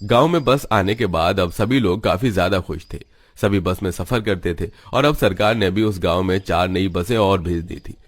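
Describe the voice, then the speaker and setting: A man's voice: low voice, normal speed, no room sound, clean studio sound. A man reading scripted story narration for a cartoon.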